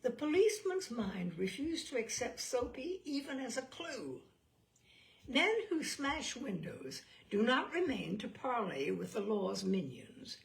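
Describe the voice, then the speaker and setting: Female storyteller for classic fiction. Slow, theatrical delivery with a gravelly edge. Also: a little high, very animated. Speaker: story reader. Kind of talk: expressive audiobook narration of classic short fiction.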